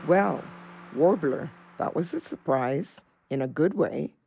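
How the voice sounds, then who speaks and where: Woman, watchful dog, lower register, matter-of-fact, stoic, radio drama. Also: expressive, slow pace, gravelly, dark tone, slightly rough, full character voice. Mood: pleased. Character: stoic, businesslike dog watching over humans. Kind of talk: audio drama animal narrator.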